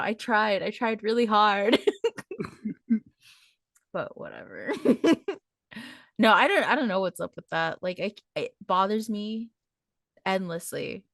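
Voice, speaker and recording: A woman's voice: mid-range voice, fairly bright tone, dry recording, clean studio sound. A woman in her late twenties co-hosting a casual podcast, telling stories with lots of laughter and filler words. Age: 20s. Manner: chatty storyteller, laughs often, many "like" fillers. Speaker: podcast co-host.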